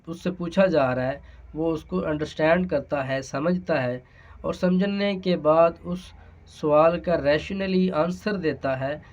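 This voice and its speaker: A man with a Pakistani accent giving an explanatory law lesson, speaking hesitantly and repeating his phrases. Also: a little high. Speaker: law lecturer. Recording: dull, lo-fi sound.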